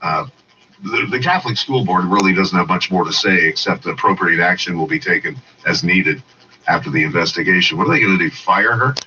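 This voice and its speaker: A man's voice: low-pitched, a little fast, pushed and bright. A man with a Canadian accent, working as a radio news anchor, reading news and chatting casually. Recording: dull, noisy radio rebroadcast, distant.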